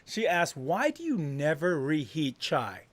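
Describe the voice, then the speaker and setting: Upbeat American host, dry sound. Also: male voice, on the high side, wildly swooping pitch, measured pace, dry recording. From United States. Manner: upbeat, jokey, calls people man and bro. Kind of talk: casual livestream interview.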